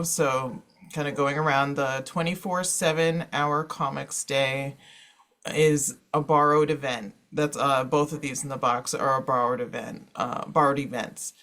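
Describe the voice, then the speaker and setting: A man presenting informally to an online panel as a moderator, explaining things in a halting, conversational way. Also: on the high side, fairly bright tone. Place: online panel, speaking over slides.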